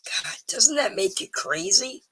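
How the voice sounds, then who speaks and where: A middle-aged woman hosting a live stream, chatting casually and rambling with ums, a little hoarse. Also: medium pitch, very animated, measured pace, bright, forceful tone. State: slightly hoarse. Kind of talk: casual chat to viewers.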